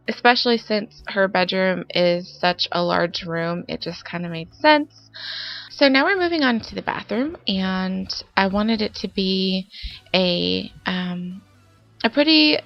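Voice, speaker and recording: A woman's voice: mid-range voice, vocal fry. A young woman, congested from a summer cold, chatting casually through a voice-over of her game build with lots of fillers. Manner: chatty, rambling with many fillers. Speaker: Sims building YouTuber. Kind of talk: casual voice-over narration of gameplay. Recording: dull lo-fi voice-over with faint background music.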